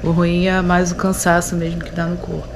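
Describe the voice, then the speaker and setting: A Brazilian woman in her thirties, hoarse from a sore throat, chatting to viewers from her phone at arm's length inside a house under renovation. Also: fairly low pitch, monotone, fairly quick. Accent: Brazilian Portuguese. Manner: chatty, addresses viewers often. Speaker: vlogger. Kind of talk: casual home vlog. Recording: echoey room with clear background noise.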